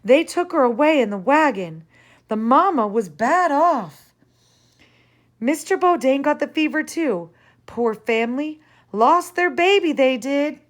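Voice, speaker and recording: A woman's voice: high voice, expressive, measured pace, pitched above the performer's own voice. A woman voicing a feverish, confused sick pioneer woman who rambles in a wandering, sing-song way. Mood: worn.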